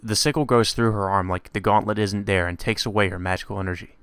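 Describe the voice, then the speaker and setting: A man's voice: on the low side, fairly even intonation, fast talker, fairly bright tone, slight background noise. A man narrating a visual novel aloud in a dramatic read-aloud style, as a let's play host. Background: music.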